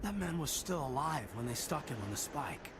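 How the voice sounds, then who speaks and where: A man's voice: fairly high pitch, expressive, normal speed, background noise. A man playing an earnest, vigilant military officer in a video game, with a grave way of speaking. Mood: grim.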